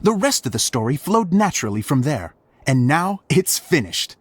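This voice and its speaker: Theatrical, animated game director. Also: male voice, on the high side, moderate pace, breathy edge, dry recording. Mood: grand, inspired. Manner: theatrical, grandiloquent. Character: flamboyant, excitable middle-aged male theatre director. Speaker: voice actor.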